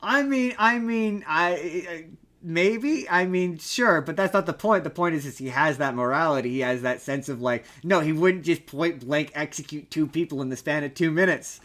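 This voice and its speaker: A man with a slightly high voice talks casually about films on a podcast. He is opinionated and emphatic, pressing his points in an argumentative, conversational way. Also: moderate pace, a bit bright. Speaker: podcast host. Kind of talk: casual movie discussion.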